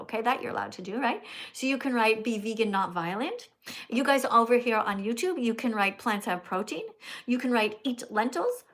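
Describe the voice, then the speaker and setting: A woman's voice: a little high, a bit bright. An older woman hosting a casual livestream, chatty and teacherly, often checking in with "okay?" as she talks to callers and chat. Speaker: livestream host.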